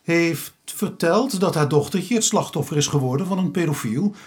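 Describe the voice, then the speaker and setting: Dry, high-pitched police inspector. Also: male voice, expressive, moderate pace, slightly rough. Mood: probing, firm. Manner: formal, dry, reasoning. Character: sceptical, businesslike male police inspector. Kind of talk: radio drama acting.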